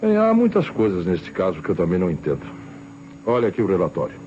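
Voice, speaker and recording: An actor playing a shrewd, sceptical police inspector in an old radio crime drama, deliberate and authoritative. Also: male voice, sits low, huge pitch swings, darkish tone. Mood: composed. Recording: muffled, noisy vintage radio recording.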